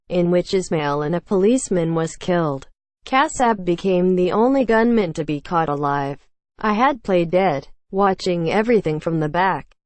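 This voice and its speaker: A woman reading a scripted news feature aloud in a measured, formal way. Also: fairly low pitch, darkish tone, no room sound. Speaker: news article reader.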